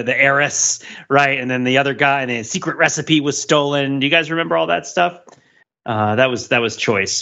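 A man's voice: mid-range voice, bright, forceful tone, highs cut off. A man co-hosting a podcast, chatting casually with playful, emphatic storytelling. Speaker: podcast co-host. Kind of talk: casual chat, telling anecdotes.

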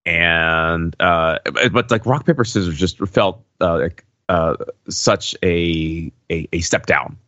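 A man's voice: sits low, takes it slowly, bright, forceful tone, dry recording, dull audio. A man co-hosting a podcast, chatting analytically and choosing his words with hesitation. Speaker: podcast host. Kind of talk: casual analytical chat.

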